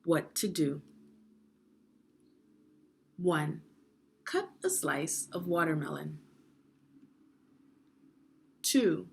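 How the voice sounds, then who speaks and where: A woman reading aloud a children's instructional book like a teacher, phrasing deliberately and putting prompting questions to young readers. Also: fairly low pitch, gravelly, breathy edge. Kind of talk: read-aloud guided reading lesson.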